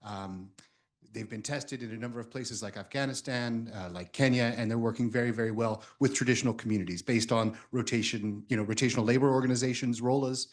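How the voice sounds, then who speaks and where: A man's voice: mid-range voice, brisk. Man rattling off a list from the floor. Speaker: audience questioner.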